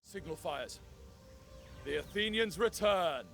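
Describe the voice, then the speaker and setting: An actor playing a noble, resolute Spartan commander in a historical video game, speaking in a formal, declamatory way. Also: male voice, high voice, lively intonation, slow, vocal fry, music in the background, exaggerated voice. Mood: defiant.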